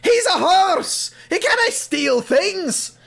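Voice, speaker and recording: An actor playing a stern, exasperated railway boss who scolds and blusters in an audio drama. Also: male voice, very high voice, sing-song, extremely slow, creaky, fairly bright tone, heavily acted. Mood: scolding.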